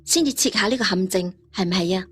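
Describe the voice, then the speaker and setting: A woman voicing a calm, reassuring older male hero in a martial arts audio story. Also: mid-range voice, fast talker, breathy edge, faint music behind. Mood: suspicious.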